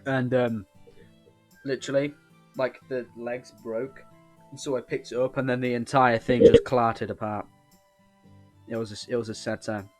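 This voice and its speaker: A man's voice: level tone, normal speed, long pauses, darkish tone, faint music behind. A man with an English accent giving casual, rambling gaming commentary in a cheeky, joking manner. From England. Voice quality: deep voice. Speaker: host of a gaming video channel. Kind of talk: casual rambling playthrough commentary, reading game dialogue aloud.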